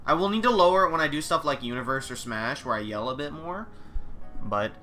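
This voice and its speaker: A man streaming a video game live, chatting casually in a nasal, congested voice, rambling excitably in gamer slang. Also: a little high, fairly quick, faint music behind. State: congested from a cold. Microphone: desk mic.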